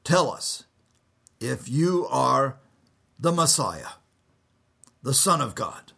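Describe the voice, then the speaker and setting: A man voicing an angry male high priest who accuses and shouts in a raised, strained voice. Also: sing-song, takes it slowly, creaky, breathy edge, full character voice. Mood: stern.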